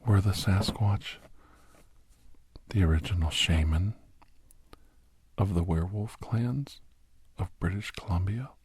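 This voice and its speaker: A man narrating a first-person ASMR story in a breathy, whispery voice. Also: sits low, takes it slowly, long pauses, darkish tone.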